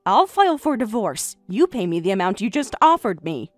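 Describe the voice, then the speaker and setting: A woman voicing a calm young wife who is unbothered by her husband's affair, speaking flat, quiet and deadpan in a voiced story drama. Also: medium pitch, moderate pace, no echo, soft background music.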